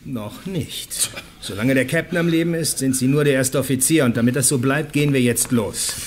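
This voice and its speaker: A man's voice: mid-range voice, normal speed, a little hiss or hum, close to an everyday voice. An actor playing a bossy, sardonic man who leads a group of survivors in a German radio drama. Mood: commanding, defiant. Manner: commanding, sardonic.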